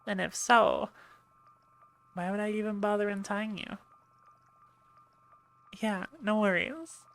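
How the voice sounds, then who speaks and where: A woman's voice: mid-range voice, fairly even intonation, slow pace, creaky, fairly bright tone. A woman playing a chatty, teasing and reassuring medic aboard an alien warship in an ASMR roleplay. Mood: warm, encouraging. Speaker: audio roleplay voice actor. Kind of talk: ASMR roleplay, one-sided conversation.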